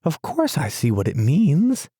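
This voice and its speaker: A man's voice: fairly high pitch, huge pitch swings, unhurried, dark tone, slightly rough, dry recording, clean studio sound, voice pushed up, full character voice. A man voicing a fussy, literal-minded male mole in a storybook reading, with a prim, pettish manner. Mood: complaining.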